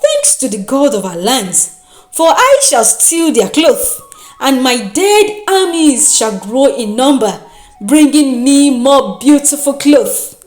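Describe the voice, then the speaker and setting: A woman's voice: high voice, very animated, slow, darkish tone, echoey room, faint music behind, full character voice. A woman with a Nigerian accent voicing a greedy, self-satisfied young village seamstress in a folktale. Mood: smug greed.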